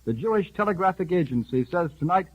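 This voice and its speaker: 1930s radio newscaster, husky and muffled. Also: male voice, high-pitched, animated. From United States. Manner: urgent, rapid formal delivery. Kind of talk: 1930s radio news bulletin. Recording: muffled old 1938 radio broadcast.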